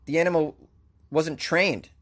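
A man's voice: fairly high pitch, unhurried, a bit bright. A man with a Boston accent hosting talk radio, ranting sarcastically about the news and laughing often. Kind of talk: opinionated news commentary.

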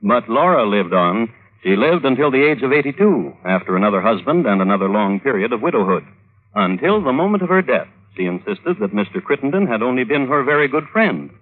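An actor playing a droll connoisseur of historical crimes, narrating wryly and deliberately in old-time radio style. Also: male voice, mid-range voice, sing-song. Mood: wry storytelling. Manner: wry, deliberate phrasing. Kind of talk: 1950s radio storytelling. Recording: old radio broadcast, tinny narrow band.

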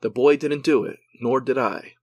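A man voicing a father who shouts to a crowd that his son is innocent. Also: mid-range voice, normal speed, no room sound, close to an everyday voice. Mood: defiant. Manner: shouting, defiant.

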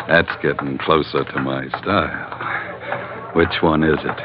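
A man's voice: rumbling low, fairly even intonation, very slow, over music, exaggerated voice. A man playing a tough, easygoing sailor sidekick with a sardonic, casual drawl in an old-time radio adventure. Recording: muffled vintage radio recording.

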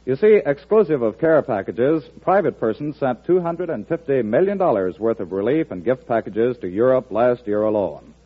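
A man announces a scripted appeal in formal vintage radio style. His pitch moves expressively and his tone is slightly husky. The recording is narrow and tinny. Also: lively intonation, moderate pace, hardly any pauses.

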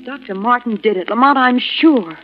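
A woman's voice: on the high side, normal speed, loud music behind the voice, natural voice. An actress playing a detective's earnest, trusting female companion in an old radio drama, speaking emphatically. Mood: insistent. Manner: emphatic, insistent. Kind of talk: 1940s radio drama acting. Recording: tinny, narrow-band vintage radio recording.

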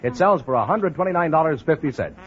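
A man's voice: fairly high pitch, animated, fast talker. A man working as a radio announcer in 1940s style, presenting contestants and prizes on an old recording. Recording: dull, noisy vintage radio recording.

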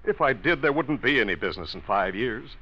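A man's voice: mid-range voice, lively intonation, a little fast, lightly acted. An actor playing a stern older businessman uncle who lectures formally and righteously, in 1940s radio drama style. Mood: stern, firm. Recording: dull old radio recording.

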